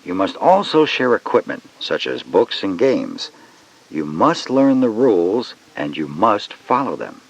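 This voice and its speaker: A stern instructing figure, with a low voice and strongly varied intonation. He lays down rules formally and emphatically, as in a scripted educational lecture. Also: sing-song, a little slow, husky, heavily acted, poor audio. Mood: stern, instructive.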